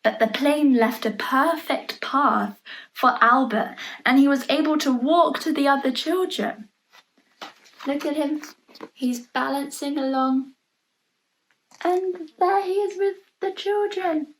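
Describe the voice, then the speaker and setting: A woman reading a children's picture book aloud in an expressive storytelling manner, pausing to point out the pictures. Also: high voice, rough recording. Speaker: storybook reader.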